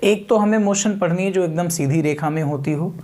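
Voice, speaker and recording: A man's voice: sits high, fairly quick, a little mellow. A man lecturing as a physics teacher, repeating key terms, asking rhetorical questions and mixing in English technical words. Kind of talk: exam coaching lecture with everyday examples.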